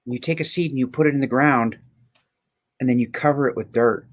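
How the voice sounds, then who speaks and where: A man's voice: medium pitch, a little fast. A man speaking as an inspirational guest in a reflective conversation, earnest and building his points through repeated phrases.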